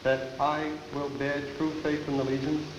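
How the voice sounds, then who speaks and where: A man, an army officer, calmly swearing in recruits and having them repeat the oath. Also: fairly high pitch, moderate pace, lo-fi sound. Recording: roomy, noisy old film sound.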